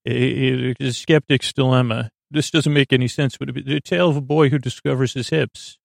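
A man with a slightly low, gravelly voice full of fry hosts a bedtime podcast, recorded very dry. He meanders haltingly, losing his thread and circling back. His manner is whimsical and reassuring. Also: steady tone, dry recording. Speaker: podcast host. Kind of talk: rambling bedtime podcast monologue.

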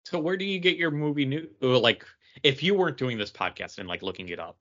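A dull, slightly lo-fi recording of a man with a middle-pitched voice. He talks casually as a podcast co-host, in an everyday conversational style. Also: expressive, fast, fairly bright tone. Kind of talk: casual chat.

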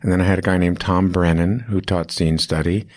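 A man's voice: deep voice, steady tone, brisk, darkish tone, no room sound, studio quality. A man in his fifties, an acting teacher, telling long stories in an interview, rambling with restarts and fillers. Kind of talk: casual interview storytelling.

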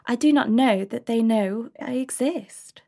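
A woman reading a soft-spoken otherworldly boy. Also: fairly high pitch, unhurried, gravelly. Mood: gentle. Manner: soft, quiet delivery. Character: gentle otherworldly young boy, kind and sad.

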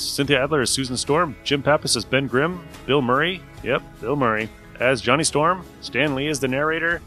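A male podcast host gives a casual, chatty introduction with joking asides. His voice is bright and mid-pitched, with faint music underneath. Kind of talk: casual scripted show introduction.

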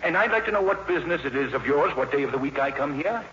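A man's voice: a little high, lively intonation, fast talker, pushed and bright. A man playing a pompous, irritable, well-off father in a 1950s radio drama, with an indignant manner. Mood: irritated. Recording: muffled, noisy old 1950s radio recording.

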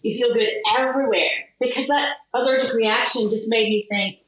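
A woman's voice: fairly high pitch, moderate pace. Laughing woman in an echoey room. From Kansas, USA. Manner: animated, laughs often. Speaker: guest, product founder. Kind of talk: interview conversation. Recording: very echoey room, faint noise.

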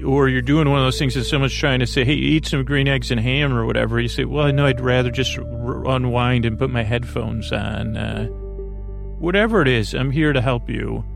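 Creaky-voiced man rambling softly toward bedtime. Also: medium pitch, steady tone, music in the background. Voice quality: creaky, croaky. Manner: meandering, halting, stammering, self-interrupting; soothing, lulling. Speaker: podcast host. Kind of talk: rambling bedtime podcast monologue.

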